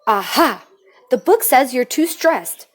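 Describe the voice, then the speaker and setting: A woman plays a skinny young storybook knight with a high, squeaky voice. He is timid under his shining armour, yet eager and kind. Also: big pitch swings, slow pace, heavily acted. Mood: eager, helpful.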